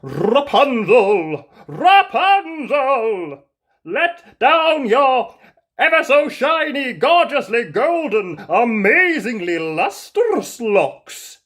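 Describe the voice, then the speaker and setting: A woman playing a young storybook prince who calls out in a drawn-out, flattering, theatrical way. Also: on the high side, pitched above the performer's own voice, exaggerated voice. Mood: flattering, pleading. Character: pleading, flattering young male fairytale prince.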